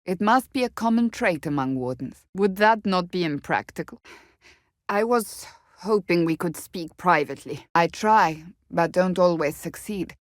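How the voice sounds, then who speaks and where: A serious warrior woman of faith from a fantasy video game. Her voice sits fairly low and is recorded dry. She speaks formally, in clipped, earnest phrases, with a stern reserve. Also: animated, measured pace, no room sound. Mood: measured, dry. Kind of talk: scripted video game dialogue.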